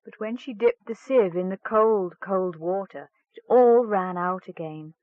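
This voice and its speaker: A woman's voice: medium pitch, unhurried, no echo. Woman storyteller, thin phone-like sound. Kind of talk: fairy tale narration, read aloud. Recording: narrow, phone-like band.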